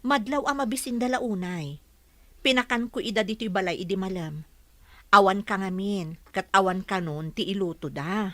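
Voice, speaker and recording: A woman's voice: medium pitch, normal speed, sharp tone, no room sound, close to an everyday voice. A woman playing a kindly, nosy village neighbour with an Ilocano accent in a radio drama. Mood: reproachful.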